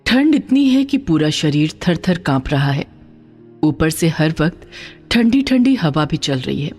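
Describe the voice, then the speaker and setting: A woman narrating a scripted dramatic story in an expressive, emphatic way. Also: sits low, unhurried, soft background music.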